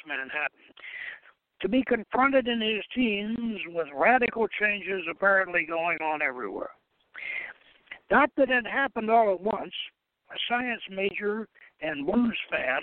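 A man with a notably high voice reads aloud as the host of a book review show. His phrasing is deliberate and emphatic, with spoken asides to the listener. The sound is dry, with no room echo. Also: narrow, tinny audio.

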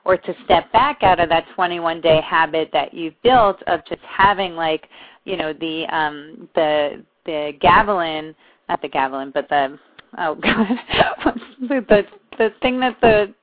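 A woman with a fairly low voice chats loosely on a podcast, trailing off and laughing at her own slips. The audio is narrow and tinny. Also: unhurried. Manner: rambling, chatty, laughs at herself. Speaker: podcast co-host. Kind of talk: casual podcast chat. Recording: tinny phone-like line.

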